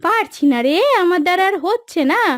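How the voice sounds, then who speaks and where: A woman's voice: very high-pitched, animated, dry recording. A woman playing a weary, plaintive village housemaid and wife in a cartoon moral story. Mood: weary, complaining. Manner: plaintive, complaining, drawn-out lamenting. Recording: dry studio voice over background music.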